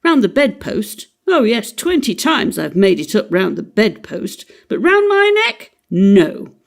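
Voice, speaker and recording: A woman voicing a blustering, pompous middle-aged father who is loud and sarcastic. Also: mid-range voice, sing-song, measured pace, vocal fry. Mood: sarcastic, stern. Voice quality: loud, exclaiming.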